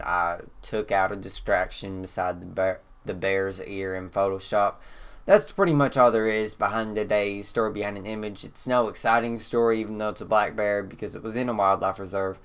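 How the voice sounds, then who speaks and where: A man with a Kentucky accent, congested and slightly hoarse, explaining one of his photos in a relaxed, rambling way with lots of "um.". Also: fairly low pitch, moderate pace. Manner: relaxed, rambling, frequent "um" fillers. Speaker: photographer and channel host. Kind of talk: casual talk-to-camera explainer. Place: indoors.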